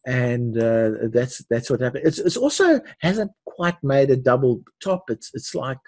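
Husky, dark-voiced older man. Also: medium pitch, expressive, no room sound. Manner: halting, repeats words and restarts mid-sentence. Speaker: webinar host teaching forex chart analysis. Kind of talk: unscripted webinar commentary on charts.

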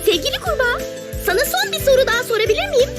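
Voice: very high-pitched, big pitch swings, very fast, loud music behind the voice. A performer voicing a cheerful, wise little cartoon ladybug who teaches patiently with coaxing questions. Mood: coaxing, questioning. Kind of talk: children's cartoon dialogue.

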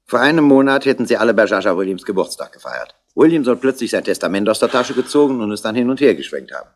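An actor playing an eager subordinate police inspector, reporting briskly and factually in a 1960s radio play. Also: male voice, fairly low pitch, very animated, a little hiss or hum. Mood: brisk, businesslike.

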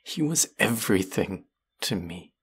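A man acts out a vengeful fantasy villain for an audio roleplay, speaking straight to the listener. The voice is very low, slow and husky, heavy with bitter menace and grief. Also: expressive, a bit bright, exaggerated voice. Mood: anguished rage. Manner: menacing, sardonic, slow and deliberate. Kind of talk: dramatic audio roleplay acting addressed to the listener.

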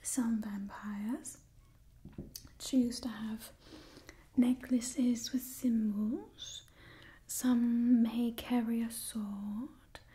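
Vampire clan matriarch for ASMR roleplay, played by a young woman: mellow breathy whisper, unhurried, imperious yet doting. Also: fairly high pitch, fairly even intonation, slight background noise. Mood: haughty, instructing. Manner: slow, deliberate, condescendingly affectionate. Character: aristocratic female vampire clan leader, coolly doting, imperious. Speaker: ASMR performer. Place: small indoor set with wooden wall. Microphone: close ASMR microphone.

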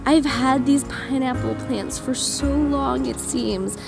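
A woman's voice: high-pitched, slow pace, creaky, loud music behind the voice. Enthusiastic woman on a garden tour. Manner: enthusiastic, gushing about plants. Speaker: homesteading vlogger. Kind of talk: casual garden tour vlog. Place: outdoor garden.

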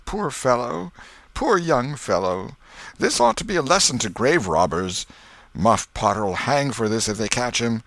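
A man's voice: medium pitch, very animated, a little slow, creaky, fairly bright tone, no room sound, pitched above the performer's own voice, heavily acted. A man voicing agitated, gossiping villagers in a storybook crowd, with blunt, folksy, exclamatory speech. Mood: grim pity.

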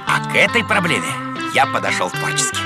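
Grumpy know-it-all cartoon bear, whiny n blustery, voice jumps all over, music under it. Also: male voice, mid-range voice, huge pitch swings, fairly quick. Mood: bossy, excited. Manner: blustering, whiny, stammering complaints. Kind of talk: animated cartoon voice acting. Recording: music behind the voice.

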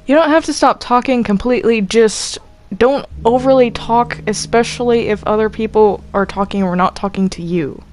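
A woman's voice: fairly high pitch, moderate pace, darkish tone, slight background noise. A woman talking casually in a group game voice chat, earnest and exasperated, swearing freely. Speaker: player in a group Minecraft roleplay.

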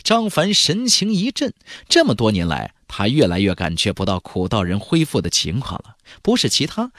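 A man's voice: fairly low pitch, fairly bright tone, no echo, studio quality. A man narrating a fantasy novel as a dramatic scripted audiobook.

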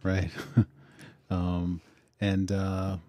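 Low, mellow-voiced middle-aged man. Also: monotone, dry recording, studio quality. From Western Pennsylvania. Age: 40s. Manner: easygoing, halting, with uhs. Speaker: co-host. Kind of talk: casual radio talk.

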